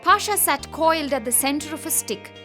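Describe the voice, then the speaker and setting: A woman narrating a children's bedtime story in an expressive, scripted style. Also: high voice, a little fast. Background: background music.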